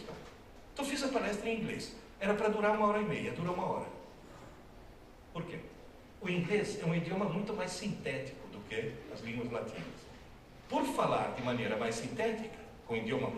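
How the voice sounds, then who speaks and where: A male professor lectures with wry, teasing emphasis, his voice a touch high for a man. He sounds far from the mic, ringing through a very echoey hall with faint background noise. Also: big pitch swings, a little fast. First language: Italian. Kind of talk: humorous public lecture. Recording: distant, very echoey hall recording.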